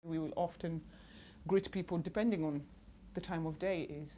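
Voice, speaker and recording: A woman speaks in a lively, conversational way about her upbringing and languages. Her voice sits a little low, with faint room sound around her. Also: normal speed, background noise. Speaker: documentary interviewee. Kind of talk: reminiscing to camera.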